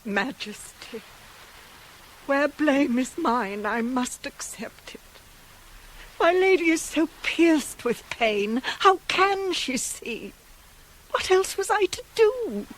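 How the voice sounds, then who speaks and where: A woman's voice: high voice, big pitch swings, slow, full character voice, poor audio. A woman playing a devoted older nurse in a radio tragedy, pleading and justifying herself to her queen in heightened speech. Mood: pleading, defensive. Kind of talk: 1980s radio drama, Greek tragedy. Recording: faintly hissy radio play cassette.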